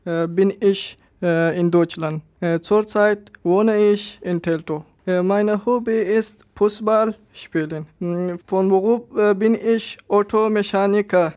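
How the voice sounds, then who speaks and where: Slow, halting man. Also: high voice, fairly even intonation, darkish tone. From Afghanistan. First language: Pashto. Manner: halting, with fillers.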